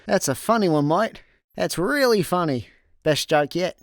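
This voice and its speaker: Dry, deadpan young Scottish actor as male slacker. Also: sits high, measured pace, dry recording. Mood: deadpan, unbothered. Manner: deadpan, unbothered. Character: chilled, work-shy male space slacker, dryly sarcastic. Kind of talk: comic radio play dialogue. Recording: dry studio, faint music behind.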